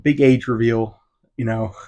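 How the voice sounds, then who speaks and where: A man's voice: medium pitch, fairly even intonation, a little slow, dark tone, dull audio, slight background noise. A man of twenty hosting a solo podcast, rambling casually with lots of "um" and "you know". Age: 20s. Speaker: podcast host.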